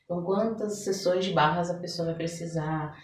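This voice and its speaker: A woman's voice: on the low side, fairly even intonation, moderate pace, darkish tone. A Brazilian woman speaking as a therapist guest in a podcast interview, explaining conversationally with everyday examples. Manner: explanatory, illustrates with everyday examples and imagined quotes. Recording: roomy echo, distant mic, background noise.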